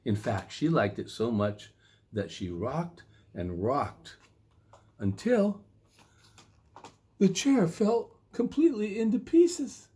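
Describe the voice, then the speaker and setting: A man reading a children's story in a playful, expressive way with dramatic emphasis. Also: a little high, slow pace, pauses often, husky.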